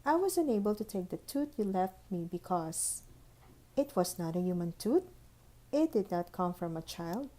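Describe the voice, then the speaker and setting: Woman voicing a brisk, bossy storybook tooth fairy. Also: medium pitch, very animated, warm tone, natural voice. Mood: formal, firm. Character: busy, bossy, impatient female tooth fairy writing letters. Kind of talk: children's storybook read-aloud.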